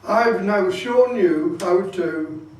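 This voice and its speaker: A man's voice: high-pitched, very slow, a little mellow. A man giving an informal hands-on club talk, explaining things and repeating his safety warnings insistently. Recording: very echoey room, distant microphone.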